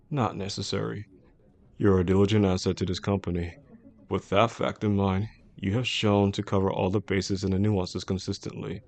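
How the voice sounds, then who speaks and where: Casting: male actor as a composed male chief executive for intimate roleplay audio, low-pitched, measured and formal, protective with a possessive undercurrent. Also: darkish tone. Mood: composed, approving. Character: composed, caring male CEO boss, secretly obsessive. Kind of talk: intimate scripted roleplay audio addressed to the listener.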